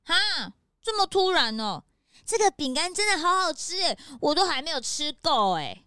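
A woman voicing an eager, excitable young sidekick in a children's audio drama. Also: high voice, normal speed, no echo, studio quality. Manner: eager, indignant. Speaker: detective's assistant.

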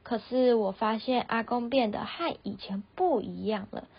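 A woman's voice: a little high, moderate pace, vocal fry, husky. A primary school girl reading a scripted personal account aloud. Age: child, primary school pupil. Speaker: student essay author. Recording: slightly dull lo-fi recording.

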